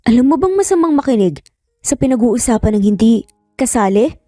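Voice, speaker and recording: A woman's voice: a little high, animated, brisk, warm tone, dry recording, clean studio sound, faint music behind, natural voice. A woman voicing a dismissive, scolding teenage older brother in an audio story. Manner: curt, scolding.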